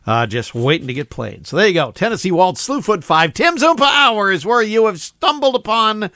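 A man's voice: high voice, lively intonation, normal speed, bright, forceful tone, no echo, clean studio sound. A middle-aged man hosting an old-time music radio show, talking in a chatty, rambling, upbeat way with lots of fillers. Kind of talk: casual radio DJ chat.

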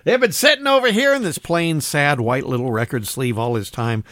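A man's voice: a little high, moderate pace, a bit bright, no echo, studio quality. A middle-aged man hosting an old-time music radio show, talking in a chatty, rambling, upbeat way with lots of fillers. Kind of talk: casual radio DJ chat.

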